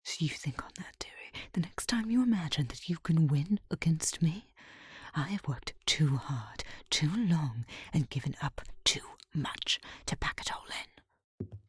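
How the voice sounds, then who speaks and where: A woman's voice: sits low, animated, unhurried, breathy edge, no echo, studio quality, heavily acted. A woman acting a haughty, scheming noblewoman in an ASMR audio drama, with a sugary, condescending murmur. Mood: smug, menacing. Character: haughty, spiteful scheming noblewoman taunting her rival.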